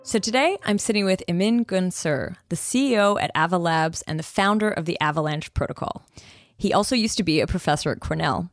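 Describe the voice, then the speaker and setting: Woman host; medium pitch, dry close sound; upbeat, conversational. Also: no room sound, soft background music. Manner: upbeat and chatty, with quick laughs. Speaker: podcast host. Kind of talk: interview host chat.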